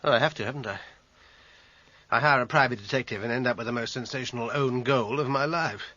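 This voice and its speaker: Distressed, husky man under suspicion. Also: medium pitch, quick pace, bright, forceful tone, no echo. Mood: agitated. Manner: agitated, faltering, exasperated. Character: distressed, weary man suspected of murdering his sister. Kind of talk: radio drama acting. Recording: dull radio drama recording, faint music.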